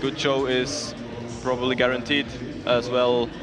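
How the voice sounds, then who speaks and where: A man's voice: medium pitch, little pitch movement, a little slow. A man commentating casually at a sports event in an informal, upbeat manner with non-native English phrasing. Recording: music playing behind the voice.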